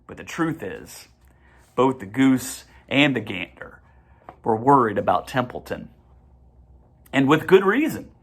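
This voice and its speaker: A reader narrating a children's storybook in an expressive manner. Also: mid-range voice, a little slow, pauses often, husky. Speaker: audiobook reader.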